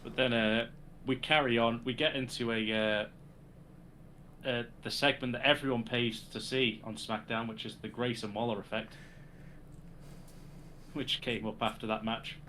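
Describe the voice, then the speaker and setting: Bright-voiced British man chatting. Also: mid-range voice, fairly even intonation, normal speed, long pauses, slight background noise, rough recording. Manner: chatty, laughs often. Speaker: podcast co-host. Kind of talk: casual wrestling chat.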